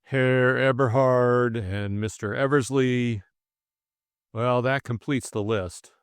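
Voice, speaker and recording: A man voicing a stern Russian who chairs a secret criminal society, speaking in a commanding, deliberate way for an audiobook. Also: mid-range voice, fairly even intonation, clear, resonant tone, no room sound, clean studio sound, voice pushed up, natural voice. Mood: sharp, suspicious.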